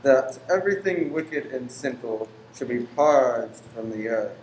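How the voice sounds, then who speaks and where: An actor in a radio play voicing a sinister, pious lodger with a formal, stiff and courteous way of speaking. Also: male voice, mid-range voice, expressive, measured pace, darkish tone, close to an everyday voice. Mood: ominous. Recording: roomy echo, faint noise.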